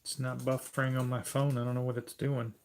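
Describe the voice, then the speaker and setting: A man's voice: medium pitch, level tone, brisk, a little hiss or hum. A man co-hosting a livestream, chatting in an easygoing way with dry jokes. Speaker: co-host of a livestream. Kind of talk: casual livestream chat.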